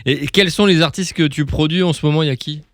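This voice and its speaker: A man's voice: a little high, fast talker, dry recording, clean studio sound. A man hosting a casual radio interview, putting informal questions to a guest and rephrasing them as he goes.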